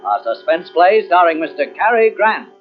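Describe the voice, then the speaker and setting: Muffled old radio, clear music behind, male announcer, fairly high pitch, dramatic. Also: big pitch swings, moderate pace. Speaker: programme announcer. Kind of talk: dramatic 1940s radio show opening.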